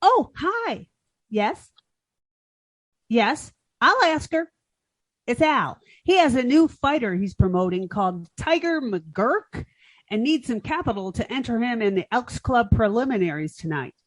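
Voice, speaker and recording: A woman's voice: a little high, very animated, measured pace, no echo. A woman playing a naive, dim-witted but earnest young woman in an old-time radio comedy, guileless and sing-song. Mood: chirpy.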